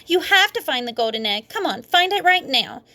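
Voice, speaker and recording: Woman putting on a bossy, whiny little girl, high. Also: big pitch swings, normal speed, pushed and bright, heavily acted. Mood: demanding. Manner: insistent, repeating demands. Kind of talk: children's read-aloud storybook.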